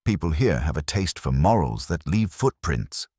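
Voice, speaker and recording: Male reader as pompous magazine editor for radio mystery; bottom-register voice, slightly husky, measured and moralising. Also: very deep voice, big pitch swings, darkish tone, no echo, clean studio sound, full character voice. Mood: smug, measured. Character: vain provincial magazine editor, man, dryly moralising.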